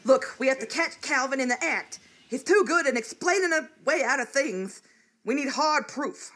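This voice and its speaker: A woman's voice: high-pitched, animated, moderate pace, pushed and bright, full character voice. A suspicious, bossy small-town woman in radio comedy, acted by a woman. Her raised voice swings widely, full of exasperation and melodramatic emphasis. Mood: determined, indignant. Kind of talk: live comedy radio theatre acting.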